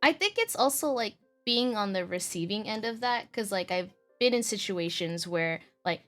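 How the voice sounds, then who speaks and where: A woman's voice: mid-range voice, expressive, moderate pace, fairly bright tone, soft background music. A young woman, a streamer, chatting casually with lots of fillers and easy laughter. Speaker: streamer and podcast host. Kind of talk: casual chat.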